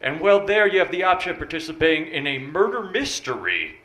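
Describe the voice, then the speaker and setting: A man in his thirties with a deep voice, telling dry, understated stand-up one-liners on a bar stage. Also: big pitch swings, fast talker, fairly bright tone. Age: 30s. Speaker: stand-up comic. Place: small bar comedy stage. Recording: roomy bar with crowd noise. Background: audience laughter.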